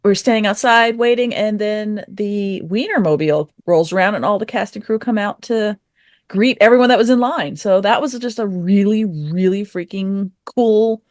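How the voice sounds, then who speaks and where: A woman's voice: mid-range voice, normal speed, darkish tone, no room sound, studio quality. A woman chatting casually and informally as a guest answering questions on a podcast. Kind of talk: casual chat.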